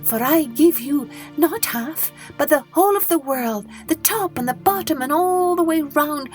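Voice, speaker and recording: Storybook goldfish, small adoring male played by a woman: high-pitched, loud, grandly joyful, music behind. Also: expressive, measured pace. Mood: jubilant, triumphant. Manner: exclamatory, grandly declaiming.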